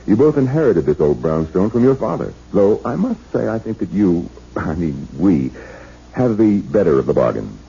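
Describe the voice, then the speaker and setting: An actor playing an affectionate, easygoing newlywed husband, soothing and flirtatious, in a 1970s radio drama. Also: male voice, low voice, very animated, moderate pace, vocal fry, dark tone, breathy edge, full character voice. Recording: dull 1970s radio broadcast.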